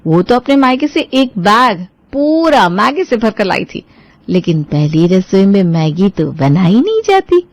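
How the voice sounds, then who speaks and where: A woman's voice: mid-range voice, very animated, unhurried, dry recording. A woman reading out a scripted story as its narrator.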